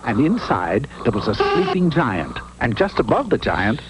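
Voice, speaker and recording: A man's voice: mid-range voice, huge pitch swings, normal speed, loud music behind the voice. A man playing a wry, mock-solemn storyteller narrating a comic cartoon fairy tale. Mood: storytelling. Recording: noisy old unrestored TV cartoon audio.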